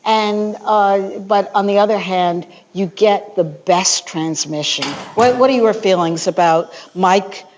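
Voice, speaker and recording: A woman who gives walking tours, sharing tips in a panel discussion in an animated, chatty way with many fillers. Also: medium pitch, slow pace, hardly any pauses, dull audio. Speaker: walking tour guide, panelist.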